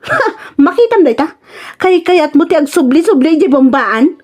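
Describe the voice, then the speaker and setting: A woman's voice: high voice, animated, moderate pace, a little mellow. A woman playing a jealous, hot-tempered wife, scolding in exclamations with an Ilocano accent in a radio comedy drama.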